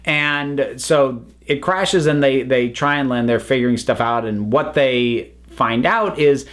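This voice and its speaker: A man's voice: mid-range voice, measured pace, a bit bright. A man giving a casual, unscripted review in a chatty, enthusiastic way, often wandering into side remarks. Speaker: online reviewer.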